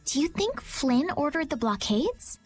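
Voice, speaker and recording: A woman playing a gentle, polite young noblewoman in a video game, earnest and anxiously questioning. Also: high-pitched, sing-song, measured pace, faint music behind. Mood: worried. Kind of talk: video game cutscene voice acting.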